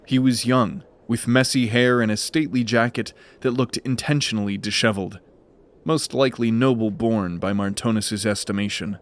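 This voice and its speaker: A man narrating a scripted fantasy audio drama in a measured, dramatic storytelling manner. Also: fairly low pitch, steady tone.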